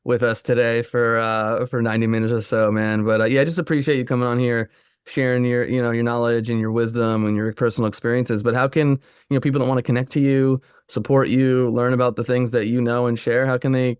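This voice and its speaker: A man's voice: mid-range voice, little pitch movement, fairly quick, clear, resonant tone, dry recording. A man hosting a podcast interview who talks in a friendly, rambling way with many fillers. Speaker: podcast host.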